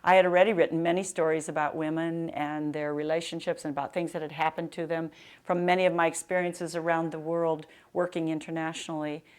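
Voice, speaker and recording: An older American woman with a loud, projecting voice, giving an author's talk and reading in an emphatic storytelling manner. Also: low-pitched, level tone, a little fast. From Nebraska, United States. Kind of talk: public talk with anecdotes and book reading. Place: live talk to a library audience in a room.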